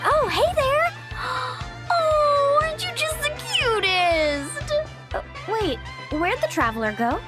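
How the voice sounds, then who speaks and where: A woman's voice: extremely high pitch, very animated, takes it slowly, full character voice. A woman voicing a bubbly, friendly young beach girl in a video game, breezy and gushing. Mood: delighted, coaxing. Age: young adult. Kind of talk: animated video game voice acting. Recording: loud background music.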